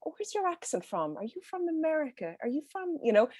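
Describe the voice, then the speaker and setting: A woman's voice: high-pitched, sing-song, fairly quick, darkish tone, dry recording, clean studio sound. Dublin woman talking earnestly on a panel. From Ireland. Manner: earnest, with many fillers and restarts. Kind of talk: online panel talk. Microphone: video call.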